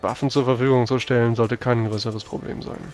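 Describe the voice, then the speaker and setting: A man's voice: mid-range voice, fairly even intonation, a little fast, darkish tone, natural voice. A man playing a dry, world-weary warrior of a religious order in a tabletop roleplay, speaking bluntly. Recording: music behind.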